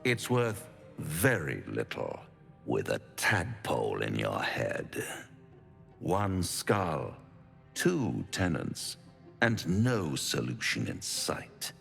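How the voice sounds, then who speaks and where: An actor playing a suave, pompous devil in a video game, teasing and menacing in a florid, silky delivery. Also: male voice, extremely low pitch, sing-song, slow pace, vocal fry, a bit bright, big-room sound, faint music behind, full character voice. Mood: smug, teasing. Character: suave, theatrical male devil, smug, menacing tempter. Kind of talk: theatrical video game acting.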